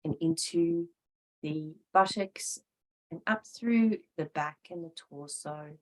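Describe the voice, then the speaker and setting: A woman leads a yoga and meditation class in a fairly low voice. She speaks very slowly and calmly, in short measured phrases with room left between them as she gives each instruction. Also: poor audio. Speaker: yoga teacher.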